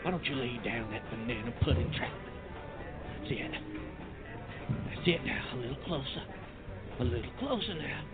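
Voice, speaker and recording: A man doing a hushed folksy hunter. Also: mid-range voice, lively intonation, normal speed, pauses often, close to an everyday voice. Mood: coaxing. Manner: hushed coaxing that bursts into yelling. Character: folksy male animal-control hunter coaxing prey. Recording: tinny phone-like sound with music under it.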